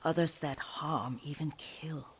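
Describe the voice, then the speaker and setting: A woman acting as a frail, devoted elderly female servant and herbalist in a video game drama, with a breathy aged voice and a gentle, halting, deferential manner. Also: sits low, fairly even intonation. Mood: solemn, devoted. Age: older. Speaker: game character voice actor. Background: music.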